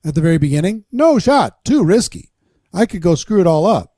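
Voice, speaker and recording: Brief: man, presentation coach on a video call, blunt and encouraging. Mellow, higher-set voice, dry sound. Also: sing-song, dry recording. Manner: blunt, encouraging, quick to reassure. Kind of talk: casual webinar talk.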